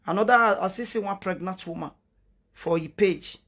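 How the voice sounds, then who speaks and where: A woman's voice: medium pitch, moderate pace, a bit bright. A woman from Edo State in Nigeria with a Nigerian Pidgin accent, giving earnest, repetitive commentary and pleading with her viewers. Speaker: online commentator.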